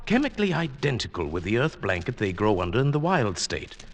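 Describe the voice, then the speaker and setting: Meek old professor, henpecked, hesitant, low voice that swings around a lot, old radio play. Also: male voice, very animated, brisk. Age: older. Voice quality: mild, hesitant. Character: meek henpecked older male botany professor. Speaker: radio drama actor. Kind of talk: old-time radio acting.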